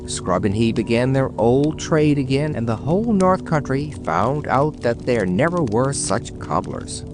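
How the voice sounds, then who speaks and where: Male narrator for a bedtime tale; mid-pitched, highly expressive, dramatic read. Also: measured pace. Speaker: storyteller. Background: music.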